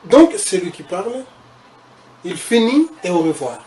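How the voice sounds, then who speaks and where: A man's voice: high voice, very animated, a little slow, a little mellow. A man from Congo, a guest recounting his past in the church, speaking hesitantly and restarting phrases. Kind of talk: personal testimony in conversation.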